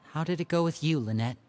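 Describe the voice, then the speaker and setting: A man's voice: on the high side, a little hiss or hum. An actor voicing a thoughtful, earnest young male magician in a video game, telling a story in a reflective way. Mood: weary. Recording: game audio over soft music.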